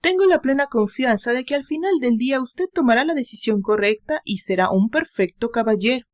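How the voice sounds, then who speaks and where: A woman reads a discreet, loyal bodyguard in an audio story. The speech is calm, courteous and measured, with a fairly high pitch. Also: no echo, clean studio sound, natural voice. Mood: earnest. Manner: formal, measured, deferential.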